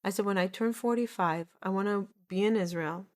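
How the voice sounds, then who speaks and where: A woman in her forties hosts a solo podcast, sharing a personal story. She speaks at a middle pitch with an earnest, heartfelt manner, repeating words for emphasis and often restarting her sentences. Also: brisk. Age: 40s. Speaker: podcast host.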